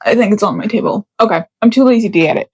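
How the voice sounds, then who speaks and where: A woman's voice: on the high side, racing, a little mellow, breathy edge, dry recording. Chatty young woman, dry close sound. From Canada. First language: Russian. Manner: chatty, self-deprecating, lots of fillers and repeats. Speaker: book channel host. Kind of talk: casual chat to camera.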